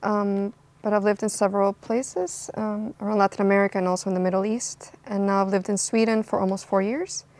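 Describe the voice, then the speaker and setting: A woman's voice: mid-range voice, level tone, brisk, hardly any pauses, a little mellow. A young woman from Costa Rica, an anthropologist answering interview questions in a thoughtful way, with frequent ums and restarts. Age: young adult.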